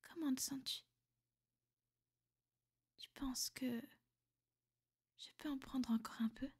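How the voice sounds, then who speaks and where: A woman playing a centuries-old vampire girlfriend in an ASMR roleplay, soft and intimate, often whispering, refined, tender and possessive. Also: sits high, fairly even intonation, slow, breathy edge, full character voice. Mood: hungry, sensual.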